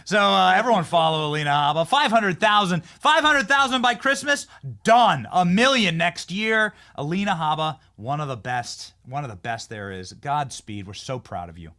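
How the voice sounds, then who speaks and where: Male show host, bright voice, lively pitch, emphatic, repeats himself mid-sentence. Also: moderate pace. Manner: animated, emphatic, repeats words when building a point. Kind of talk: political interview.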